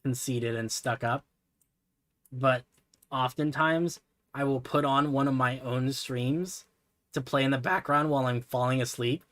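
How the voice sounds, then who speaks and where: Casual male streamer, soft and relaxing, rambling with fillers; dry close mic, typing behind. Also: mid-range voice, measured pace, dry recording. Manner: rambling, self-deprecating, many fillers. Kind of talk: casual livestream chat while building.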